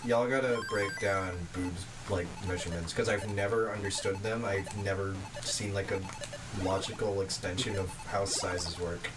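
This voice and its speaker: A man's voice: low-pitched, steady tone, moderate pace, noisy background. A man streaming, chatting casually with friends on a voice call, laughing often and protesting when teased.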